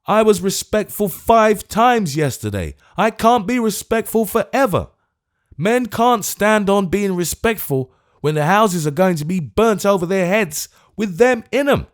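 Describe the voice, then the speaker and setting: A man voicing a choleric, frightened old gentleman who pleads in a shrill, frantic way. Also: expressive, measured pace, hardly any pauses. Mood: pleading, indignant. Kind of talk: audiobook character voice.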